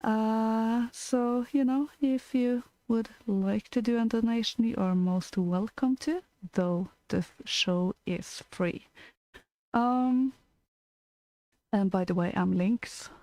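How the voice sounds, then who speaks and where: Slow-talking Norwegian woman co-host, mellow. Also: a little high, dry recording, rough recording. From Norway. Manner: hesitant, lots of fillers and giggles. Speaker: co-host of a storytelling stream. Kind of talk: casual chat.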